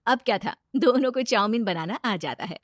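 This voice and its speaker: A woman tells a scripted moral tale as its narrator. Her voice sits fairly high and the sound is dry and close. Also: animated, normal speed, dry recording. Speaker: story narrator.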